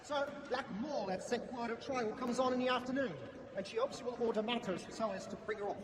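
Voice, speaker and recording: A man's voice: very high-pitched, fairly even intonation, a little fast, noisy background. An actor playing a deferential errand man for thieves in a period stage play, speaking in a declamatory theatrical way. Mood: earnest, reporting. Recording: echoey theater hall, loud music behind.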